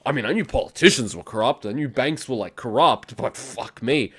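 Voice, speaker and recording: A man's voice: medium pitch, brisk, fairly bright tone, husky. A man, bluntly ranting and swearing as host of a reaction video. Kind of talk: casual reaction commentary.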